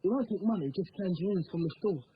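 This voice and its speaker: A man's voice: high-pitched, expressive, fast, lo-fi sound, slight background noise. A man playing a streetwise, haunted homeless youth with a British accent in a drama, needling and probing as he tells stories. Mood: wistful storytelling. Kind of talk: dramatic dialogue with storytelling monologues.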